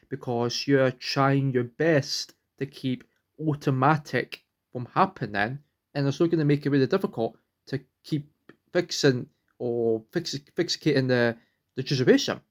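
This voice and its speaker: A young man, vlogging at home, rambling earnestly and restarting sentences as he searches for words. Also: medium pitch. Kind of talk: rambling personal vlog.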